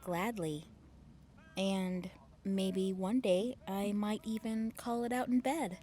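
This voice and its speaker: A woman's voice: medium pitch, slow, dark tone, lightly acted. A woman playing a confident, flirty army officer in an audio drama, teasing and reassuring. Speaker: lieutenant colonel.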